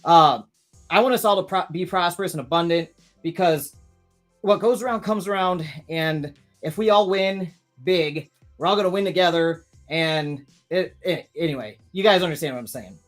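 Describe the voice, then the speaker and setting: A man's voice: on the high side, moderate pace, a bit bright, soft background music. A man in his thirties hosting a live stream, chatting casually and rambling with many restarts while reading viewer comments. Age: 30s.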